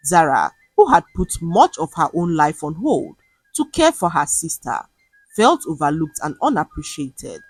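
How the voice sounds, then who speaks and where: A woman narrating a scripted dramatic folktale as a storyteller, with a slightly husky voice. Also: deep voice, big pitch swings, moderate pace, no echo, soft background music, rough recording.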